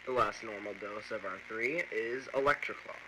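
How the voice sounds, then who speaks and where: A man's voice: lowish voice, normal speed, noisy background, close to an everyday voice. A man playing a chatty lab doctor who reads out instructional files in an informal, slightly fussy way for an amateur horror series. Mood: matter-of-fact. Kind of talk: scripted instructional narration for amateur analog horror.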